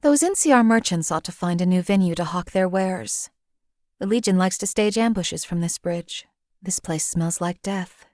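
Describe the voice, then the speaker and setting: A woman's voice: fairly low pitch, moderate pace, mellow, no room sound, close to an everyday voice. A woman voicing a cold, sardonic female assassin companion and former slave, who speaks in a dry, cutting, precise and condescending way. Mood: irritated. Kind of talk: game companion voice lines.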